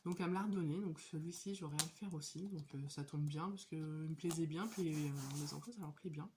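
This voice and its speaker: A woman in her thirties with a cold, chatting casually and hesitantly about her crafting hobby, with many pauses and fillers. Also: deep voice, background noise. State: has a cold. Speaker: hobby vlogger. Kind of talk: casual show-and-tell chat.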